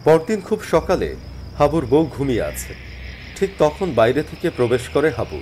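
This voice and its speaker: A man narrating a scripted animated horror story in a dramatic storytelling style. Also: fairly high pitch, normal speed, slight background noise.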